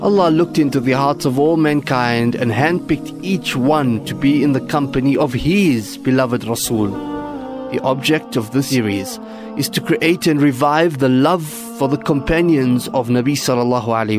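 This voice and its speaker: A man giving solemn, measured scripted narration. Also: mid-range voice. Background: music.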